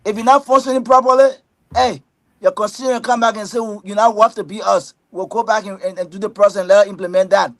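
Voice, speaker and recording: A man making a steady, list-like statement as a panel guest. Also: high-pitched, quick pace, no echo. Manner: list-like, steady, repetitive phrasing. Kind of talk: prepared statement in a debate.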